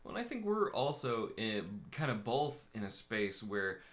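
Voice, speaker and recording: A man's voice: on the low side, big pitch swings, normal speed, fairly bright tone. A man in his thirties co-hosting a casual live talk, thoughtful and hesitant, with frequent fillers and restarts. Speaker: co-host and coach. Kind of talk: casual conversation.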